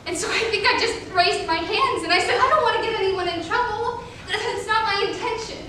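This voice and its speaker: A woman's voice: brisk, bright, forceful tone. A young woman playing a hurt teenage gymnast recounting abuse, with earnest, dramatic emphasis in a stage reading. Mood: ashamed, hurt. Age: young adult. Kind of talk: competitive reader's theater performance. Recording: echoey room, distant mic, rumbling noise.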